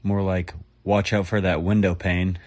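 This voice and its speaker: A man calls in by voicemail with a jokey string of puns. His voice is very deep. He delivers the jokes in a playful, self-satisfied way. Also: flat delivery, measured pace, darkish tone, slight background noise. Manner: jokey, pun-telling delivery. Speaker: caller.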